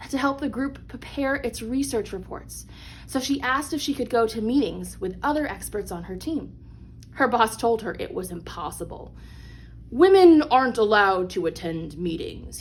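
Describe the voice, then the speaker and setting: Higher-voiced woman reading a children's book, noisy recording. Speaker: read-aloud storyteller. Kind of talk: scripted children's picture book read-aloud. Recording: clearly noisy background.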